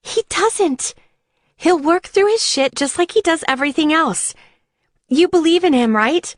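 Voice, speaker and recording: A woman's voice: extremely high pitch, expressive, measured pace, dry recording, pitched above the performer's own voice. A woman voicing a frank, reassuring young woman, a loyal sister, in an audiobook.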